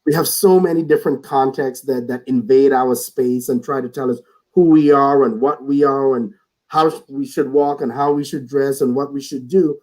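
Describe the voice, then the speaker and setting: A man's voice: medium pitch, fairly even intonation, normal speed, darkish tone, rough recording. A man speaking as a guest in a reflective conversation over a video call, earnest, often repeating words as he starts a thought.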